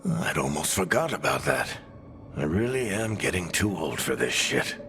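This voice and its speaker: An actor playing a weathered middle-aged storyteller companion in a video game, speaking with dramatic, grave weight. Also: male voice, low-pitched, very animated, normal speed, vocal fry, pushed and bright, full character voice. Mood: weary. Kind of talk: video game dialogue and narration. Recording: dramatic music behind. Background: music.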